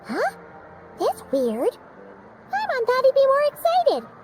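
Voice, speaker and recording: Squeaky, perky little fairy girl. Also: wildly swooping pitch, slow pace, music in the background, heavily acted. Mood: perky, curious. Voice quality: squeaky, childlike. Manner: perky, speaks of herself by name. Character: tiny childlike female fairy companion, perky and chatty. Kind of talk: dubbed game dialogue.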